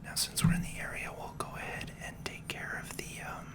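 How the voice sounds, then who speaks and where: Whispering ASMR gaming host. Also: brisk. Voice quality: breathy unvoiced whisper. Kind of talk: ASMR whispered game commentary.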